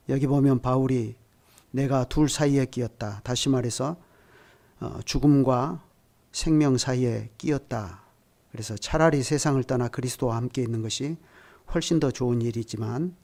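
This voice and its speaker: Measured older male pastor preaching. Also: medium pitch, warm tone. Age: 60s. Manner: measured preaching that swells to emphatic peaks; speaks directly to the congregation with light chuckles. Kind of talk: sermon at a midweek prayer service.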